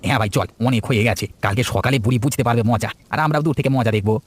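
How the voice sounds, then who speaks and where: A man's voice: mid-range voice, racing, husky, lightly acted. A man voicing a brash, resentful village husband out for revenge in a cartoon folk tale. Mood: gloating. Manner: indignant, boastful.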